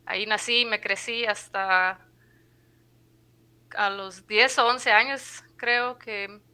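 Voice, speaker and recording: A woman in her mid-twenties from Guatemala, speaking as a guest on a podcast. Her voice is very bright and crisply clear, and she talks hesitantly, piling up fillers and restarts as she thinks aloud. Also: medium pitch, fairly even intonation, slow. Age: 20s. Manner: hesitant, many fillers, laughs lightly. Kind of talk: conversational interview.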